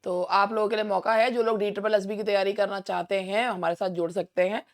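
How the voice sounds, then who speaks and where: Hoarse indian lady teaching exam english online, chatty, keeps clearing her throat. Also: medium pitch, fairly even intonation, quick pace. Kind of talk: online exam-prep lesson, Hindi mixed with English.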